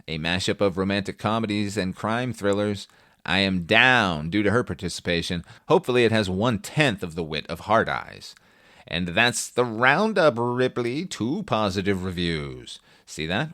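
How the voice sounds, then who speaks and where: A middle-aged man hosting a film review podcast, delivering scripted commentary with wry, deadpan humour. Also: fairly low pitch, no room sound, studio quality. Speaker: podcast host reviewing films.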